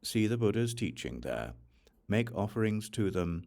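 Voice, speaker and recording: A man reads a sacred text aloud as an audiobook, in measured, solemn phrases with deliberate pauses. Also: lowish voice, big pitch swings, a little mellow. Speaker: audiobook reader of scripture. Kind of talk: scripted reading of a Buddhist sutra.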